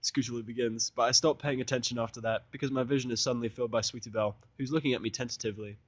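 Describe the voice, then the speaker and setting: A man's voice: mid-range voice, steady tone, very fast, dry recording. A man narrating fan fiction in the first person, with irreverent, sweary casual asides.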